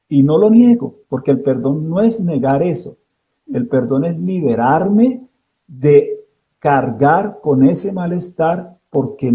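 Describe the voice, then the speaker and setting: A man who is a psychologist, speaking slowly and reflectively on a live online call, with many hesitant fillers. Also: a little high, mellow, highs cut off. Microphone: video call.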